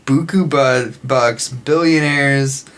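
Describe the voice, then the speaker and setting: An American man in his late twenties talks as a podcast co-host. His voice has an ordinary pitch, and he speaks in a casual conversational style. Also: takes it slowly, a bit bright. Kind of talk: casual discussion.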